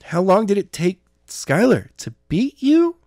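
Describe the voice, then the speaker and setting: Man voicing an eager, higher-pitched young woman fighter. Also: sing-song, unhurried, a little mellow, no echo, clean studio sound. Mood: eager, competitive. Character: determined, competitive young woman martial arts trainee.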